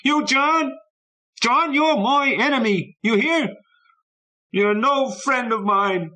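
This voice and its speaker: A man's voice: lively intonation, moderate pace, a bit bright. A man voicing an anguished young boy who cries out fiercely in a raised, strained voice. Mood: furious. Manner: cries out in raw high anguish.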